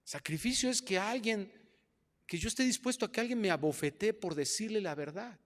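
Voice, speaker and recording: Mexican pastor preaching in a reverberant hall. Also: male voice, high voice, a little fast. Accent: Mexican Spanish. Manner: animated preaching, swinging from quiet asides to loud mocking voices. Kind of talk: sermon. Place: church hall.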